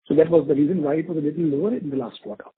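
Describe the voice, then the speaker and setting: A man with a very husky, rough and fairly high voice gives explanations as an executive on an earnings call. The audio is narrow, like a phone line. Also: very fast, no room sound. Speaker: bank executive.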